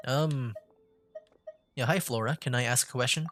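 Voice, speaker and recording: A woman's voice: very deep voice, moderate pace, noisy background. A woman giving casual live gameplay commentary as a streamer, hesitant and thinking aloud. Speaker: VTuber game streamer.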